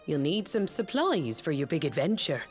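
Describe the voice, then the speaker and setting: A woman's voice: sits low, very animated, moderate pace. An actress playing a homely, plain-spoken British village mother in a video game, with a folksy, fondly chiding way of talking. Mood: proud, tender. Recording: game music behind.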